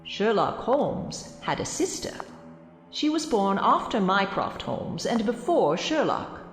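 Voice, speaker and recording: A woman's voice: mid-range voice, big pitch swings, takes it slowly, soft background music. A woman playing a mysterious guide, narrating a radio drama in a theatrical storytelling manner. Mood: mysterious, inviting. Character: mysterious female guide narrating dark tales from a crypt.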